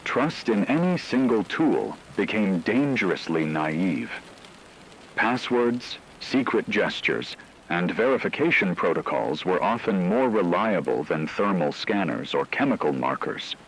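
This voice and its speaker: A man delivering scripted dramatic narration in a grave, measured storytelling manner. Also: deep voice, big pitch swings, a little hiss or hum, rough recording.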